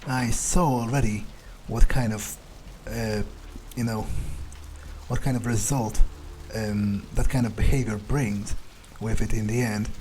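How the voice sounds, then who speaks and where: A man's voice: fairly low pitch, steady tone, measured pace, a little mellow, slight background noise, rough recording. A man roleplaying a game character in an improvised monologue, musing hesitantly to himself and swearing freely. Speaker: gamer roleplaying his character.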